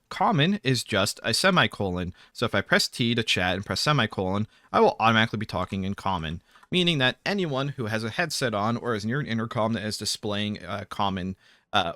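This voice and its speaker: A man's voice: lowish voice, animated, a little fast, sharp tone, dry recording, studio quality. A man giving an informal, matter-of-fact tutorial narration for a video game, explaining things step by step in an instructional way.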